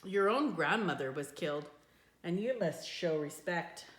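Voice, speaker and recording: A woman voicing a firm, caring mother in a children's story, speaking in a measured way. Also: fairly low pitch, animated. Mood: stern, reproving. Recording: echoey room.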